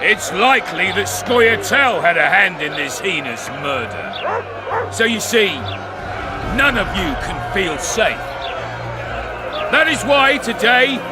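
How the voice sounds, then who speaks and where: An actor playing a brash, scornful town commandant in a video game, coarse and menacing. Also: male voice, high voice. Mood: declamatory. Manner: barking, scornful, slow deliberate delivery.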